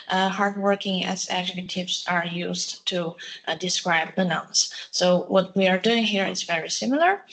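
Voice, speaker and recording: A woman giving an academic conference presentation, pausing often with filler sounds. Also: lowish voice, a bit bright, low-quality recording. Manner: halting, many filler sounds. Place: seminar room.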